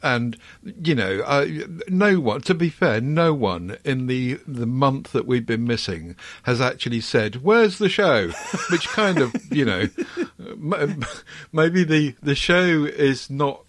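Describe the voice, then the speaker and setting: A man's voice: medium pitch, sing-song, slow, dry recording. Middle-aged guy hosting a chatty podcast, ums a lot, dry close sound. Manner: rambling, hesitant, many fillers and restarts. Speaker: podcast host. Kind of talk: casual chat.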